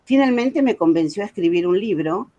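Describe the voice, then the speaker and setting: A woman's voice: medium pitch, expressive, a little fast, mellow, highs cut off. Older Argentine woman giving a talk. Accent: Argentine, Buenos Aires. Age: 70s. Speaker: guest speaker, author and music educator. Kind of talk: prepared talk with informal asides.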